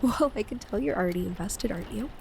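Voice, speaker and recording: A woman playing an affectionate, playful wife and mother in an intimate audio roleplay, speaking in a gentle, teasing way. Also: medium pitch, animated, fast, creaky, slight background noise. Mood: caring.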